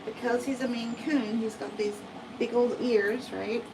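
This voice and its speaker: An American woman who grooms cats, chatting slowly through a bath demonstration and often asking "right?". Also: on the high side, fairly even intonation, far from the mic, noisy background. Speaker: cat groomer. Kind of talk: casual livestream grooming demo.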